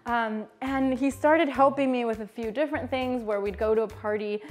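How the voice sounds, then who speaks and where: A woman's voice: a little high, fairly even intonation, normal speed, faint music behind. A woman from Mexico in her thirties, a bakery founder telling her story in casual interview chat, bubbly and laughing often.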